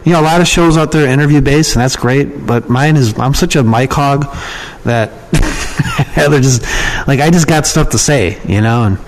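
Man, mid-pitched voice, podcast guest, informal, rambling, opinionated, conversational. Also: normal speed, poor audio. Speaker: podcast guest, email marketer and copywriting trainer. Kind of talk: casual interview talk.

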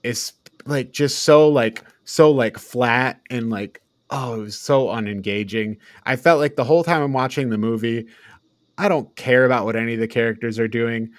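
A man's voice: medium pitch, normal speed, no room sound. A man with a mid-range voice talks as the host of a casual review podcast. He is blunt and informal, and the sound is dry, with very little room. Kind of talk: casual film review discussion.

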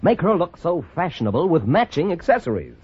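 An American man delivers a polished 1940s radio sales pitch. His voice is high and swoops expressively, and the old recording sounds dull. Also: very animated, brisk, darkish tone, slightly rough. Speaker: advertising announcer. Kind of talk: 1940s radio commercial read.

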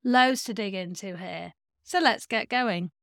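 A woman's voice: mid-range voice, unhurried, fairly bright tone, no echo, studio quality. A woman hosting a podcast. She reads a scripted introduction and asks questions in an upbeat way. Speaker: podcast host.

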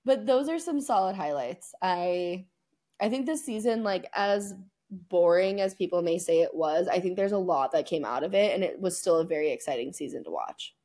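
A woman's voice: on the low side, animated, normal speed, mellow. A woman co-hosting a sports podcast in casual, chatty conversation, with a playfully self-mocking way of talking. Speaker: podcast host. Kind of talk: casual sports podcast chat, season recap.

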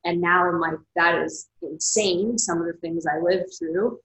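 A woman's voice: on the low side, fairly even intonation, measured pace, fairly bright tone, roomy sound, rough recording. A woman author and coach talking candidly in an interview, reflective and open, with lots of "like" fillers. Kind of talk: casual interview conversation.

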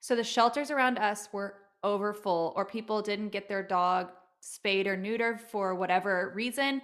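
A woman's voice: medium pitch, normal speed, a bit bright. Upbeat woman telling a story. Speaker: podcast co-host. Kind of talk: casual chat.

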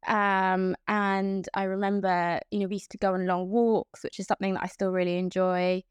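A woman's voice: mid-range voice, level tone, moderate pace, clean tone, no room sound. A young woman from Hong Kong, a guest in an interview, chatting about her path into events with frequent fillers and restarts. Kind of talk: casual interview.

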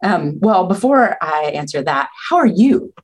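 A woman's voice: on the low side, very animated, a little slow, slightly rough. A woman, a nursing leader, speaking as a guest on a video call, earnest and talking in long run-on thoughts. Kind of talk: casual interview chat.

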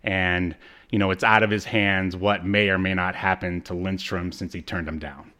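A man co-hosting a podcast, giving a casual, informal recap in a halting storytelling manner. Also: low voice, level tone, pushed and bright. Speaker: podcast co-host. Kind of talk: casual episode recap chat.

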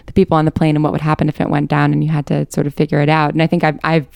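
Low voiced woman chatting on an interview, lots of fry, clear close dry sound. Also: monotone, racing, a little mellow, no echo. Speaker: interview guest, podcast writer. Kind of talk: casual conversation.

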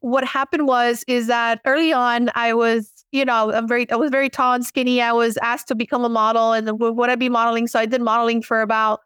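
A middle-aged woman from Miami with a Cuban American Miami accent, chatting casually as a podcast guest in an outgoing, animated way. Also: fairly high pitch, brisk, hardly any pauses, clear, resonant tone, no room sound, clean studio sound. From Miami, Florida. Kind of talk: casual interview chat.

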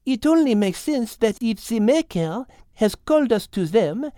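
Thoughtful French she-cat, audiobook character, measured, logical, higher-pitched, dry recording. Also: expressive, dark tone, dry recording. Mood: calm, reassuring. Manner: measured, precise, reasoning aloud.

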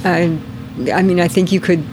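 A man, the author at a book event, talking in a relaxed, thoughtful way. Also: high-pitched, normal speed, background noise. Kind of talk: book talk conversation. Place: bookstore event.

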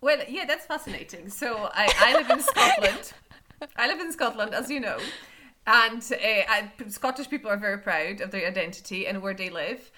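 Bright-voiced woman podcast co-host. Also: mid-range voice, expressive, normal speed, a little hiss or hum. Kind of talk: podcast interview.

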